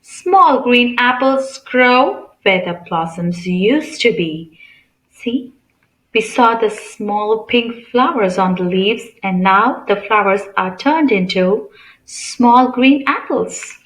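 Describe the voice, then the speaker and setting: A woman's voice: fairly high pitch, lively intonation, takes it slowly, echoey room, a little hiss or hum, poor audio. A woman reading a picture book aloud to children in a teacherly way, asking questions and explaining simply. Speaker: read-aloud channel host.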